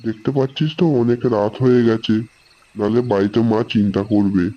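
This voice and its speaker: A man's voice: lowish voice, fairly even intonation, a little fast, close to an everyday voice. A man playing a friendly, responsible elder brother in an amateur horror cartoon, talking casually. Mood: cheerful. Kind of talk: amateur animated horror story dialogue. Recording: dull lo-fi sound with music.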